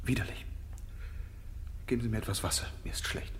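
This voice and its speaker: A man's voice: on the low side, fairly quick, slight background noise, natural voice. An actor playing a calculating murder suspect in a German radio crime drama, curt, dismissive and guarded in his answers. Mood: confessing, queasy. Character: calculating male murder suspect, evasive and defensive under questioning.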